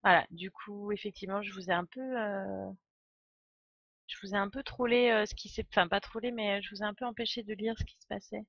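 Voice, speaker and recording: A woman's voice: mid-range voice, steady tone, a little fast, fairly bright tone, no echo, highs cut off. A woman streaming a game with casual, enthusiastic and digressive live commentary. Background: game music.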